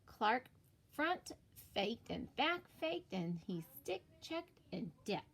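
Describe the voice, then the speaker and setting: A woman teacher reads a picture book aloud to children. Her voice is fairly high and very lively, and she goes slowly, leaning deliberately on key words. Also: creaky, slightly rough. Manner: animated, deliberate emphasis. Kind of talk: expressive children's storybook read-aloud.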